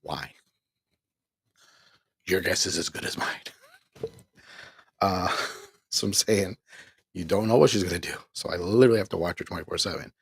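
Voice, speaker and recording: A tired man speaks casually, rambling through a candid personal story and breaking into laughs between complaints. His voice sits fairly low with a husky grain. The sound is dry and close, with almost no room around it. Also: moderate pace, long pauses, no room sound. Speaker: online ministry host. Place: home.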